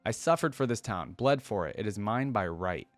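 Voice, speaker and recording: A man's voice: on the low side, animated, normal speed, no room sound, faint music behind, natural voice. Man reading as a battered, desperate old pirate. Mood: demanding. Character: battered old male pirate, desperate, raging, self-pitying.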